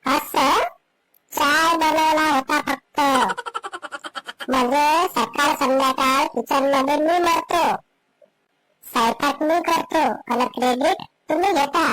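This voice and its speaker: A high, piercing-pitched wife from a comedy cartoon, acted by a woman, forever nagging. She complains with heavy sarcasm in Vidarbha Marathi. Some background noise sits on the recording. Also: fairly even intonation, slow, pauses often. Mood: sarcastic, indignant.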